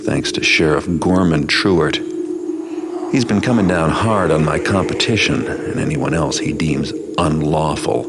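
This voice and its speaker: An actor playing a cynical, world-weary master thief, narrating a game cutscene in a gravelly, deadpan, sardonic, measured way. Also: male voice, rumbling low, noisy background. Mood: weary, wry. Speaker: narrating protagonist. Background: music.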